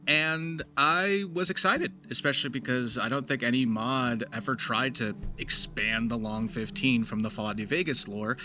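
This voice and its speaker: A man's voice: medium pitch, sharp tone. A man giving effusive, emphatic video commentary as the host, with some swearing. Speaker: video host and amateur voice actor. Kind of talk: video essay commentary, semi-scripted. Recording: faint music under voice-over.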